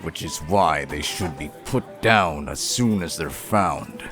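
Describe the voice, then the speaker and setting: A man's voice: extremely low pitch, expressive, fairly bright tone, faint music behind, full character voice. A man acting in an audio roleplay as a stern, unyielding middle-aged father who hunts monsters, speaking in a righteous, disapproving manner. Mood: stern, insistent. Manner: righteous, slow and measured, disapproving.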